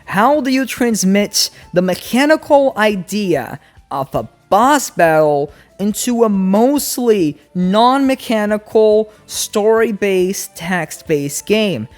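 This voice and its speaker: A woman giving casual, informal video essay commentary on games, speaking in an animated, emphatic way. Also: medium pitch, slow pace, soft background music.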